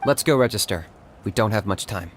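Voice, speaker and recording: A man's voice: lowish voice, animated, fairly quick, slight background noise, close to an everyday voice. A man voicing a serious, blunt young warrior in a game, firm and matter-of-fact. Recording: game audio under music.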